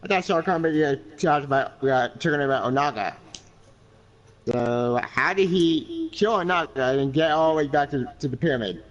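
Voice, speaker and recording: A man's voice: a little high, normal speed, highs cut off. A man in a casual chat over a remote call, rambling and restarting as he thinks aloud about game lore. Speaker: podcast co-host.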